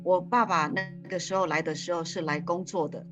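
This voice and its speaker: A woman's voice: on the low side, steady tone, a little fast, muffled recording, over music. A woman interpreting live, speaking with fillers and frequent restarts.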